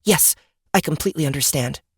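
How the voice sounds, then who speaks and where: A woman's voice: sits low, fairly quick, husky, no room sound, clean studio sound. A woman playing a plucky young woman in a fantasy audiobook, informal and earnest with a wry streak. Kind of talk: scripted audiobook character acting.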